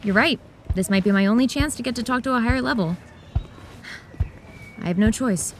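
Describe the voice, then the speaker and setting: A woman's voice: fairly high pitch, a little fast, slight background noise, natural voice. A woman playing a sardonic, jaded young woman in a horror audio drama, blunt and dry in manner. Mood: determined.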